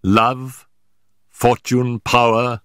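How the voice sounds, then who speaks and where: A man reading an audiobook, voicing a brisk, sharp-minded male detective who sets out facts in a measured, emphatic way. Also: mid-range voice, little pitch movement, clear, resonant tone, no room sound, studio quality, pitched above the performer's own voice. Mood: brisk, reasoning.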